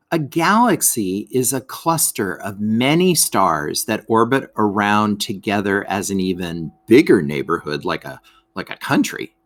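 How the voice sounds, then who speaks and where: A man's voice: mid-range voice, a bit bright, no room sound. A man reading a scripted educational lesson aloud for children, explaining things expressively and speaking directly to his young listeners.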